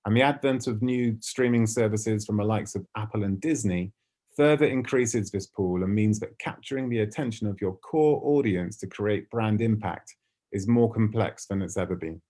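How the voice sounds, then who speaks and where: A man with a British accent and a fairly low voice delivers a prepared online business presentation. He speaks in a polished, articulate corporate way, as a conference speaker addressing professionals. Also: normal speed, darkish tone. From United Kingdom. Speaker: conference speaker, ad tech company representative.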